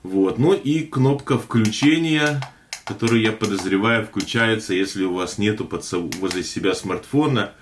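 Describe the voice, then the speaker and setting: A man hosting a casual tech unboxing, talking in a chatty, hesitant way with many fillers and a slightly hoarse throat. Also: lowish voice, moderate pace, a little hiss or hum. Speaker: tech video host.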